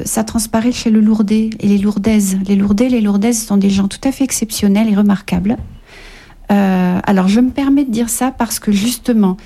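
Reflective woman author on radio, mellow. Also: mid-range voice. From Paris, France. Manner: hesitant, many fillers, warm and reflective. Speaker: author guest. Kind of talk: radio interview. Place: radio studio.

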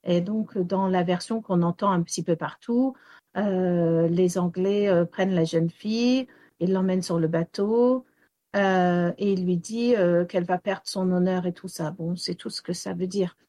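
Woman storyteller, English native speaker, halting with lots of fillers, dry close sound. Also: fairly low pitch, steady tone, warm tone, no room sound. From Wales. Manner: hesitant, many fillers and restarts. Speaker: storyteller and singer. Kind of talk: radio interview answers.

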